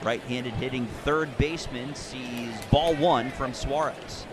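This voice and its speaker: A man calling baseball play-by-play in a descriptive, measured radio broadcast style. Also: mid-range voice, music in the background. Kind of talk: live radio sports commentary with sponsor reads.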